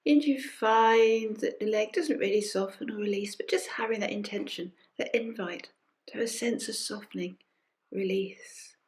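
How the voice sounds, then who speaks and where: Woman softly guiding a body scan meditation. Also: medium pitch. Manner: gentle, unhurried, with pauses and soft invitations. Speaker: meditation teacher leading a group session. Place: recorded during an online session.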